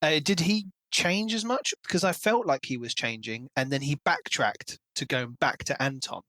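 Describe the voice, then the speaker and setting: A man's voice: a little high, moderate pace, pushed and bright, no echo. A man hosting a podcast, casually discussing a book in an analytical way and explaining his points at length. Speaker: podcast host.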